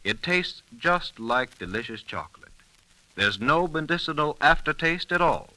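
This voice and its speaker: A man's voice: fairly high pitch, moderate pace, bright, forceful tone, low-quality recording. A man announcing and narrating in a polished, emphatic 1930s radio style. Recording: vintage 1930s radio broadcast.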